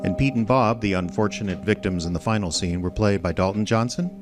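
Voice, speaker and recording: A man's voice: fairly low pitch, brisk. A man reading scripted end credits as the announcer of an audio drama. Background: loud music.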